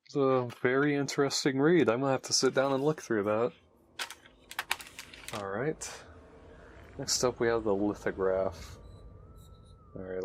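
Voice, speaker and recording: A man's voice: on the low side, a little hiss or hum. A man giving casual unboxing commentary as host, muttering to himself as he handles things. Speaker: host of a game unboxing video.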